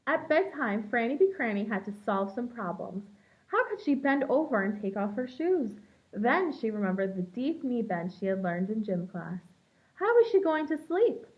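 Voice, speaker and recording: A woman with a fairly high voice reads a picture book aloud like a preschool teacher. Her delivery is expressive and shaped for young children. The recording sounds slightly dull and lo-fi. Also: moderate pace.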